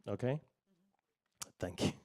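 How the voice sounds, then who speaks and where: A man chairing a planning meeting, steering the discussion with a gentle, teasing humor. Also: slow pace.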